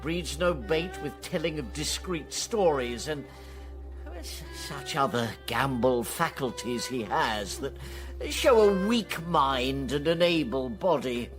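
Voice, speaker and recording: An older actor playing a fat, boastful, roguish old knight in a Shakespearean radio drama, blustering and halting as he wheedles. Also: mid-range voice, animated. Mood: mocking. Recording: music behind the voice.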